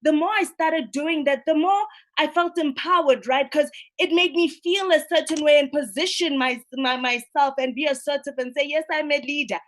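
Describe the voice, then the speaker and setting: A woman's voice: high voice, moderate pace. A woman in her thirties hosting a roundtable over a video call, speaking earnestly and animatedly with frequent tag questions. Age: 30s. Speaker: roundtable host. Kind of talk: virtual roundtable discussion.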